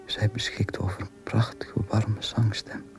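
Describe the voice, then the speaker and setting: Slow, deep, courtly Italian count. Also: male voice, flat delivery, in a whisper. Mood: courtly pride. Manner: florid, ceremonious, slow. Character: proud Italian count reading his courtly matchmaking letter. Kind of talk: radio drama, formal letter read aloud. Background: violin music.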